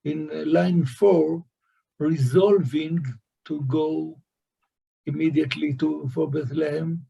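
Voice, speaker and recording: A man whose first language is Hebrew, discussing scholarship as a guest in a slow, halting way with many fillers. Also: fairly high pitch, fairly even intonation, creaky, warm tone, husky, dull audio. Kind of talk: academic discussion.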